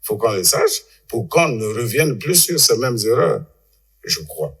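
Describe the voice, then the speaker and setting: A man giving unscripted political analysis as a panel guest, haltingly, repeating words as he argues. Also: medium pitch, very animated, a bit bright, husky.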